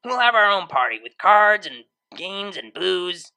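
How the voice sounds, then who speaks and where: Woman voicing a brash, creaky-voiced young unicorn DJ. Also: medium pitch, sing-song, measured pace, pushed and bright, heavily acted, rough recording. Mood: upbeat, coaxing. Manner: casual, slangy, teasing.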